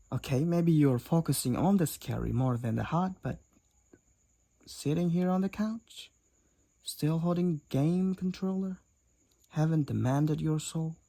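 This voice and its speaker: A woman's voice: a bass voice, dark tone, full character voice. A woman playing a cocky, teasing demoness college roommate and gamer in a roleplay audio drama, with a wry, theatrical delivery. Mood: wry, coaxing. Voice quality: raspy, creaky. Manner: teasing, wry, theatrical, addresses the listener as Sugar.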